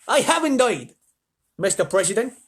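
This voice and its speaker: A gushing, fawning hotel manager. The strained voice sits very high and bounces eagerly from word to word. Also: male voice, sing-song, normal speed, fairly bright tone, breathy edge, exaggerated voice.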